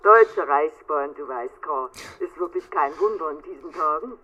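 A woman's voice: deep voice, lively intonation, normal speed, bright, forceful tone. An actress playing a chatty, familiar female clerk in a German radio drama. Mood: chatty, reassuring. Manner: chatty, adds questioning tags. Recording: dull sound, faint background noise.

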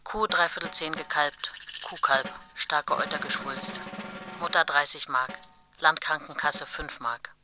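An actress playing a brisk farmhouse wife reading out her terse, matter-of-fact household diary in a radio play. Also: low voice, steady tone, pushed and bright, full character voice. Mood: brisk, factual. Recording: music and drums behind voice. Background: percussive music.

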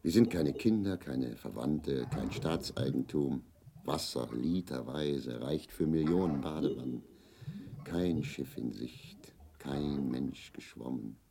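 An actor in a radio play playing an embittered, officious, callous father with a gravelly voice and a scolding, bureaucratic manner. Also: a bass voice, slow pace, mellow, slight background noise, full character voice. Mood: bleak, subdued. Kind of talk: German radio play acting, grotesque.

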